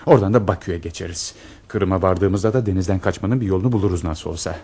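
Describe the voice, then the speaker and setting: An actor playing a devoted, protective lover on the run, in a radio drama. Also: male voice, low voice, quick pace, mellow, breathy edge. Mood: urgent. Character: devoted male lover, protective fugitive planning escape.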